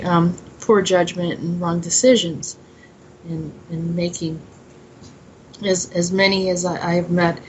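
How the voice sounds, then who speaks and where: Low, even-voiced woman with background noise. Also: poor audio. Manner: halting, meandering delivery. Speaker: host and author presenting her own poem. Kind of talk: informal spiritual talk.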